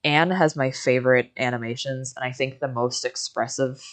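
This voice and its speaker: Very low-voiced woman chatting about games. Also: fairly even intonation, moderate pace. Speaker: game streamer. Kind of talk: casual chat commenting on a video game.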